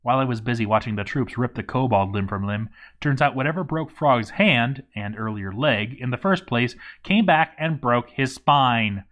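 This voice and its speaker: A man reading a game story aloud as dramatic narration, with theatrical emphasis and deliberate pauses. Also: mid-range voice, fairly bright tone.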